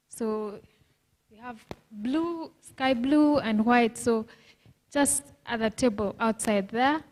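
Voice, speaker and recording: A woman making brief, loud announcements at a conference. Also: a little high, big-room sound.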